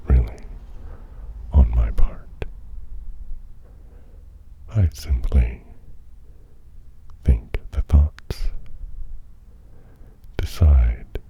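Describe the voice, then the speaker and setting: Very slow, deep whispering man. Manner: hushed, mostly whispered, halting with long pauses; musing, deliberate short phrases. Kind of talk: improvised ASMR monologue. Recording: dull, muffled audio with faint noise.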